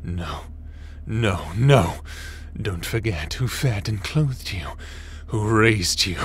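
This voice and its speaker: A man's voice: on the low side, lively intonation, a bit bright, a little hiss or hum, full character voice. A man playing a smug, scheming, power-hungry villain in a sci-fi audio drama, with a taunting, gloating manner. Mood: shaken, defiant. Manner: slow, taunting, gloating delivery. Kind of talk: sci-fi audio roleplay acting.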